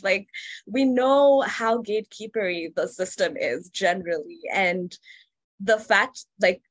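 A woman's voice: medium pitch, no echo. A woman from Kenya speaking earnestly and reflectively in a casual panel discussion, with frequent fillers.

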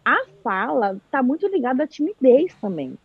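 A woman's voice: sits high, moderate pace, breathy edge, no room sound, phone-quality sound. A woman from Brazil chatting live, animatedly telling a story and acting out what people said. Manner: animated storyteller, acts out quoted speech. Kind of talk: casual live chat.

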